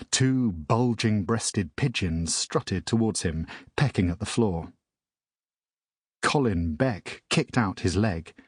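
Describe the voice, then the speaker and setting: Male audiobook narrator, lowish pitch, slow measured delivery, slightly rough, close dry recording. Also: dry recording. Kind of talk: scripted children's story narration.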